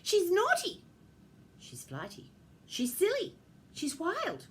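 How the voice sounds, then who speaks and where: Woman as whining, high-voiced complaining reindeer. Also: wildly swooping pitch, slow pace, slight background noise, heavily acted. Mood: whiny complaint. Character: complaining, alarmed herd of snobbish reindeer.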